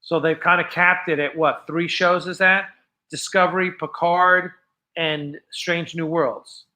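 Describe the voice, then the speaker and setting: An American man hosting a casual live fan show, chatting off the cuff in a rambling, halting way that often trails off. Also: a little high, steady tone, pushed and bright. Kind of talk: casual unscripted livestream chat.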